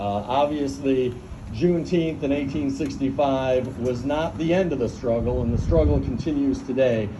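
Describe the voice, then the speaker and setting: A man giving an earnest, measured welcome speech as an official at a public civic event. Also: mid-range voice. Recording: noisy event recording.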